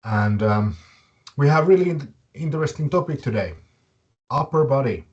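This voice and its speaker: A man with a Finnish accent hosting a live webinar, speaking in short, halting, deliberate phrases. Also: mid-range voice, lively intonation, darkish tone, muffled recording. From Finland. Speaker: webinar host and fitness academy director.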